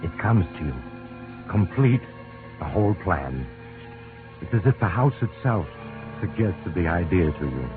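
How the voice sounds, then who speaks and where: Male suspense narrator, extremely low, insinuating, vintage radio band with music underneath. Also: very animated, phone-quality sound. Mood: sinister. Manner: sinister, knowing, deliberate second-person address.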